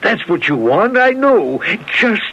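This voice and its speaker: A man acting in a radio drama as a desperate, broken-down corporate boss, pleading and halting. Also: high voice, big pitch swings, a bit bright, slightly rough, heavily acted. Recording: dull lo-fi radio recording.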